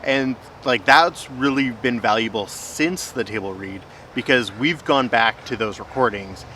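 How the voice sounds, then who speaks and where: A man's voice: medium pitch, a little slow, pushed and bright, a little hiss or hum. A man hosting a casual vlog, explaining things in a conversational way. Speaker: host, filmmaker.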